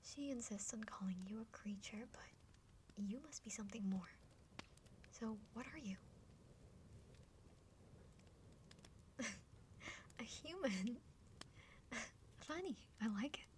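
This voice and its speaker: Woman acting a high, whispering young half-dragon sister. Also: expressive, measured pace, long pauses, darkish tone. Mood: amused, curious. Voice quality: breathy whispering. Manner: chatty, playful, hesitant stammers. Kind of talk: whispered ASMR roleplay. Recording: faint background noise.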